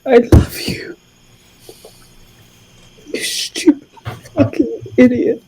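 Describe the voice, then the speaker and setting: A woman's voice: high voice, very slow, mellow, breathy edge, heavily acted, rough recording. A woman playing a grieving, fierce adventurer in tabletop roleplay, tearful and raw. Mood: heartbroken. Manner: emotional, raw. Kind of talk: improvised tabletop roleplay drama.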